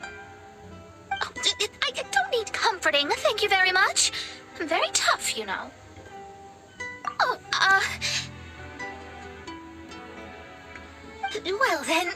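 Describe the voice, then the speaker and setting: A woman's voice: very high voice, heavily acted. A woman voicing a proud, earnest young astrologer in an anime game, speaking in a formal, articulate way over music. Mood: flustered. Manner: formal, articulate, self-serious. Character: proud, earnest young female astrologer, prickly but soft-hearted. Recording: background music behind the voice.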